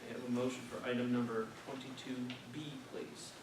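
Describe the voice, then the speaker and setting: A man's voice: mid-range voice, little pitch movement, fairly quick. A man chairing a board meeting in formal, formulaic procedural phrases, recorded from a distance in a meeting room. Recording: noisy echoey meeting room, distant microphone.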